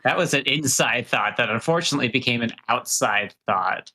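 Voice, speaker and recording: A man hosting a casual livestream chat, speaking haltingly and often repeating his phrases. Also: medium pitch, lively intonation, pushed and bright. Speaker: livestream host. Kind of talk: casual banter.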